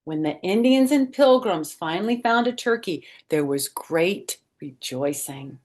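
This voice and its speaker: A woman's voice: fairly low pitch, animated, unhurried, darkish tone. A woman voicing a bossy schoolgirl from a storybook, speaking emphatically as if on a school stage. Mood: declamatory, proud. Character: bossy, demanding schoolgirl reciting in a class play.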